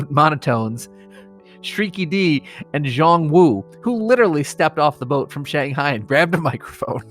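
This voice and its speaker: An American man hosting a comedy podcast, reading mock obituaries with strained solemnity and chatting casually. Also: on the high side. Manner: mock-solemn reading that keeps breaking into suppressed laughter.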